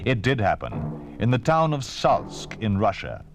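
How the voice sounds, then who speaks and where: A man's voice: lowish voice, huge pitch swings, a little slow, vocal fry. A man reading scripted narration as a vintage radio announcer, formal and emphatic. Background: music.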